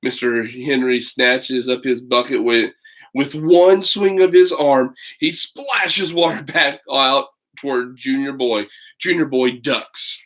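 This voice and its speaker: A man reads a picture book to children in a mid-pitched voice. His delivery is that of a chatty storyteller, slipping between narration and casual, folksy remarks to whoever is listening. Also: steady tone, takes it slowly, fairly bright tone. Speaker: read-aloud storyteller.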